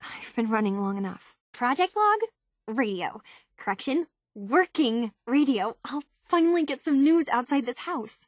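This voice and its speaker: A woman's voice: fairly high pitch, expressive, a little slow, no echo, clean studio sound. An actress playing an earnest young woman tinkerer searching for her identity in a video game, speaking in a natural, slightly hesitant conversational way. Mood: chatty, tinkering. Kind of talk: naturalistic game dialogue acting.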